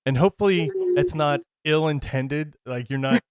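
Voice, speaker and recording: A man hosting an interview, chatting casually in a loud, excitable, rambling way that keeps breaking off and restarting. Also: on the high side, normal speed, clean tone. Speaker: radio show host interviewer.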